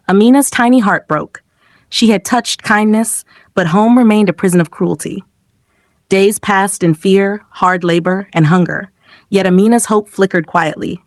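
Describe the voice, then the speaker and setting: A woman's voice: medium pitch, no room sound. A woman reading a scripted, dramatic folktale as a storyteller.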